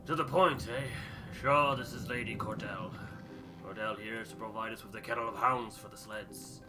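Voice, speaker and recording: A gruff, shrewd ship captain for a story-driven game, voiced by a man. The voice sits low and the delivery is clipped and commanding with a dry edge. Prominent music plays underneath. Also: moderate pace, lightly acted. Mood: measured, shrewd.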